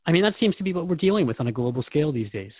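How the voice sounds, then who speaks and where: A man's voice: a little high, very animated, very fast, breathy edge, dry recording, narrow, tinny audio. A man hosting a conversational interview, asking questions in a wry, candid way. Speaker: host and questioner.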